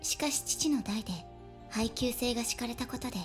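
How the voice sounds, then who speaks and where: A woman's voice: on the high side, rapid-fire, faint music behind, close to an everyday voice. A woman playing an earnest young woman in a Japanese visual novel, explaining politely as a game character. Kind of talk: Japanese video game voice acting.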